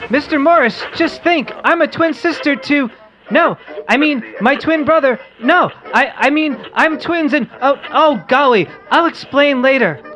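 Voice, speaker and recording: Excitable, wholesome young boy, acted by an adult. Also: sits high, unhurried, muffled recording. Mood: excited, flustered. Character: excitable, wholesome boy radio quiz host. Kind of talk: amateur radio-play reading of old comics.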